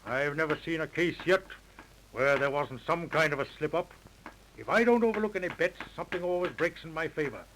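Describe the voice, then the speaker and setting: An actor playing a shrewd, self-assured police detective in a 1930s radio crime drama. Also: male voice, on the high side, animated, fairly quick, a bit bright, slight background noise, poor audio. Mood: confident. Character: confident, shrewd, persistent male police detective. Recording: vintage 1930s radio recording.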